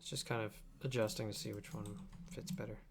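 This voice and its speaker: A man's voice: on the low side, steady tone, fast talker. Young man streaming over noisy keyboard clicks. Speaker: live streamer and video editor. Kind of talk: casual livestream chat while working. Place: indoor home desk setup. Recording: clear background noise with keyboard clicks. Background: mouse clicks and typing.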